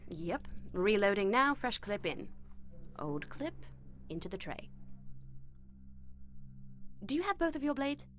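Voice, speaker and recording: An actress playing a cool, businesslike researcher in an audio drama, speaking in a clinical, matter-of-fact way. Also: female voice, medium pitch, very animated, takes it slowly, pauses often, a little hiss or hum. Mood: brisk, casual. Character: cool, businesslike female researcher running human trials. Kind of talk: binaural audio drama acting.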